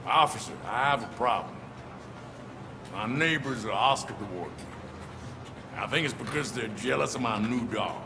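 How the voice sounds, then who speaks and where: An actor playing an oblivious, proud citizen in a video game, chatting earnestly in a gravelly voice. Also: male voice, medium pitch, normal speed, pauses often, in a large hall, noisy background, lightly acted. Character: oblivious, proud dog-owning male citizen with complaints.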